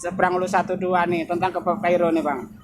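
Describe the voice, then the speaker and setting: A man's voice: high voice, level tone, fast, loud music behind the voice. A man, a YouTube reporter who interviews a street vendor outdoors in casual, chatty talk with repeated tag questions. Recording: street noise from nearby traffic.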